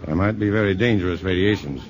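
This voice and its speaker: A man's voice: sits low, quick pace, close to an everyday voice. An actor playing a polite, reserved male scientist in a 1950s radio drama. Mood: thoughtful, courteous. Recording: dull old radio recording, faint music.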